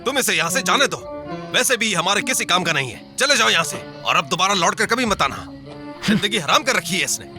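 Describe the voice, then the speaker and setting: A man's voice: high voice, animated, brisk, over music. A man playing a cruel, domineering husband and stepfather in a dubbed drama, barking contemptuous orders. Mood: harsh, contemptuous.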